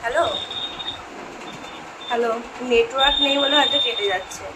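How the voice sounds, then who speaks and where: A woman's voice: fairly high pitch, a little fast. A young woman chatting casually as a vlogger making a prank phone call. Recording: distant mic, clear vehicle-like background noise.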